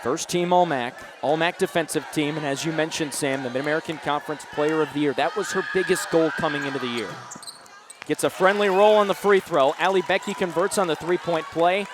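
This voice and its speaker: A man doing live radio play-by-play of a basketball game from an arena, projecting his calls and getting animated on big plays. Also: a little high, a little fast. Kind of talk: live sports commentary. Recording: noisy live arena broadcast, bouncing balls.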